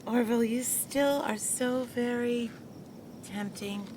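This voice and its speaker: A woman's voice: a little high, slow pace, noisy background. A woman playing a prim 1920s wife. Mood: exasperated. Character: prim married 1920s woman, his former flame. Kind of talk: 1920s period audio drama.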